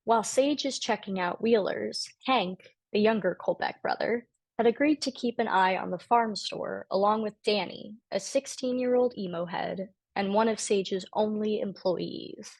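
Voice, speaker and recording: A woman's voice: mid-range voice, moderate pace, creaky, no echo. A woman writer-director introducing a table read and reading out the stage directions as scripted narration.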